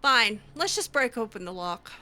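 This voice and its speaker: A woman's voice: on the high side, very animated, moderate pace, pushed and bright. A woman roleplaying her way through a fantasy video game, improvising in character with a hesitant, wry, sarcastic manner. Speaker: gamer roleplaying a mage heroine.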